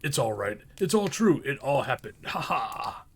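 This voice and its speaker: A man voicing an overjoyed old miser in a storybook reading, excitable and childlike, gushing in exclamations. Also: medium pitch, unhurried, slightly rough, heavily acted. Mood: elated. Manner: exclamatory, breathless gushing, whooping.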